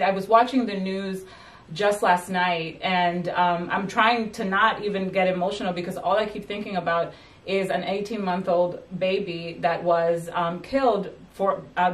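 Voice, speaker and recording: Halting woman host in a roomy room. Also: on the low side, distant mic. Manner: halting, with many fillers and restarts. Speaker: host and magazine editor. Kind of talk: conversational interview.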